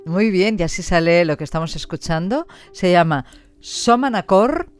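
A woman's voice: on the low side, fairly quick, darkish tone, clear, resonant tone, soft background music. A woman with an accent from Spain, presenting a radio interview as host. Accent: Spanish, from Spain. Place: radio studio.

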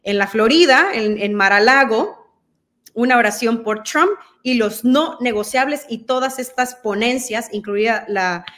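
A woman's voice: fairly high pitch, unhurried, a bit bright. A woman in her thirties from El Salvador, speaking Spanish with a Mexican-sounding accent, talking earnestly on a video call as a guest, with many fillers and restarts. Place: dim room at home. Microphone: video call laptop mic.

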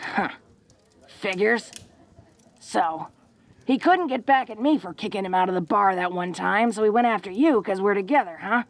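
A woman's voice: medium pitch, normal speed, close to an everyday voice. A woman playing a tough, protective, secretly awkward young orc woman in an intimate audio roleplay, with rustic slangy speech and a bashful stammer. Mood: protective, gruff.

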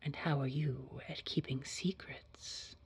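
A woman playing a secretive corporate boss in an audio drama, interviewing in a measured, formal, insinuating way with a breathy, whispery voice. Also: very deep voice, fairly even intonation, heavily acted. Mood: controlled, probing. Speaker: job interviewer. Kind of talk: scripted audio drama dialogue.

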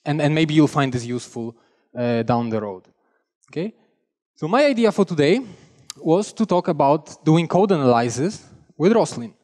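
A man's voice: fairly high pitch, big pitch swings, normal speed, a little mellow, in a large hall. A young man from Poland with a Polish accent, giving a chatty, self-deprecating technical talk on a conference stage. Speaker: conference speaker. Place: large conference hall stage. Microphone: headset mic.

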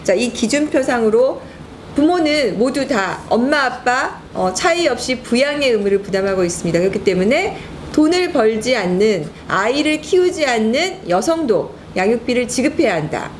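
A middle-aged woman, a lawyer hosting a talk show, explaining rules clearly to a co-host. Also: fairly high pitch, big pitch swings, normal speed, slight background noise.

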